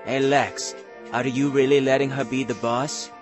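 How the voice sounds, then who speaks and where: A man playing a cheeky young squad member with a casual, informal manner in an animated series. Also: mid-range voice, normal speed, music in the background, close to an everyday voice. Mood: casual, questioning.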